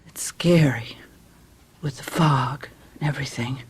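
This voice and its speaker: A woman's voice: very deep voice, unhurried, slightly rough, full character voice. An actress playing an anxious, bewildered wife and mother in a radio mystery drama, hesitant and plaintive.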